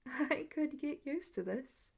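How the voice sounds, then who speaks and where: Woman reading as a sleepy sweet teen boy in a story, soft and gentle, highish voice. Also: expressive, slow pace, creaky, exaggerated voice. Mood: sleepy, content. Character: mild, sleepy, sweet-natured teenage boy. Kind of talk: storybook-style character reading.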